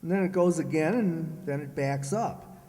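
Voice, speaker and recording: An actor playing a sulky, jealous, self-pitying husband with a plaintive, halting delivery in radio comedy style. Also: male voice, a little high, warm tone, natural voice. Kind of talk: old-time radio comedy acting, re-created. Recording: echoey hall, long reverb.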